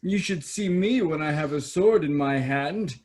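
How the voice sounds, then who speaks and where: Man as a slow-talking vain young prince. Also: on the high side, darkish tone, lightly acted. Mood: boastful. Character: vain, self-absorbed young fairy-tale prince, male. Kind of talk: children's theater staged reading.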